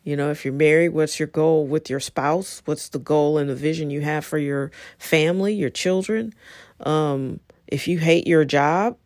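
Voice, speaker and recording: A woman's voice: deep voice, normal speed, darkish tone, no room sound. Casting: middle-aged female talk host, lightly scratchy, conversational and encouraging, recorded dry. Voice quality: a little scratchy. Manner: conversational, encouraging, rambling asides. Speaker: show host. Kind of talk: casual solo talk to listeners.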